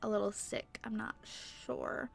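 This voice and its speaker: A young woman with a stuffy nose and sore throat, rambling chattily through a casual tutorial voice-over on a boom-arm mic. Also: steady tone, measured pace, vocal fry. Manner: rambling, chatty, lots of fillers. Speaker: YouTuber. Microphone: microphone on a boom arm.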